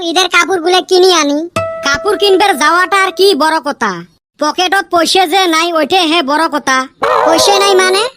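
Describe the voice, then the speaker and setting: Someone voicing a very high-pitched cheeky cartoon village boy. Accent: rural northern Bengali dialect. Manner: teasing, grumbling. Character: cartoon village boys bickering over Eid shopping, cheeky and broke. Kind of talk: comic cartoon dubbing in rural dialect. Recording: clearly noisy background with clicks.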